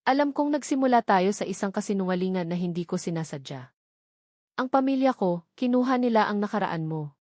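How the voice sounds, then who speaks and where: A woman's voice: mid-range voice, animated, brisk, a little mellow, dry recording, studio quality, close to an everyday voice. A woman voicing an earnest, lovestruck young man in an audio drama, emotional, tender and pleading. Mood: tender, remorseful.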